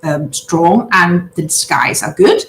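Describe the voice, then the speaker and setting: A woman in her thirties from Denmark with a Danish accent, a native Danish speaker, hosting a casual livestream from her big living room and chatting with viewers in a halting way with lots of filler sounds. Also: lowish voice, animated, fairly bright tone, a little hiss or hum. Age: 30s. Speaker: livestream host. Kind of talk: casual livestream chat, reading out viewer comments. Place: large open-plan living room at home. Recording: roomy echo.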